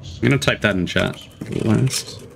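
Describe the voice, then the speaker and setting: A man's voice: lowish voice, a little slow, gravelly, background noise. A man hosting a livestream, giving casual live reaction commentary. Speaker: livestream host. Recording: trailer audio and music behind.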